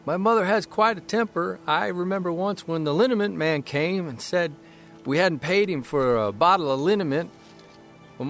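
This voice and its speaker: An earnest young newspaper columnist, fond and measured, mid-pitched, faint music behind. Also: male voice, gravelly, a bit bright. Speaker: actor reading a column in character. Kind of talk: scripted narration and radio drama acting.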